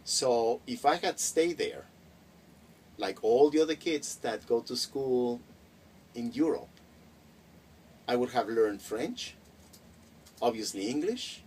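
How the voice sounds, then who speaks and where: A middle-aged Mexican-born man whose first language is Spanish, recalling his schooling in a relaxed interview with frequent hesitations and restarts. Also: medium pitch, takes it slowly, pauses often. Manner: reflective, many hesitations and restarts. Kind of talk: casual interview. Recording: distant mic with faint noise.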